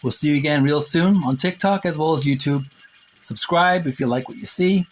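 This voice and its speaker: A man's voice: a little high, normal speed, a little hiss or hum. A man hosting a retro gaming channel, talking in a chatty, earnest, folksy way as he comments and reads passages aloud. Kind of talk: casual commentary with read-aloud book passages. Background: music.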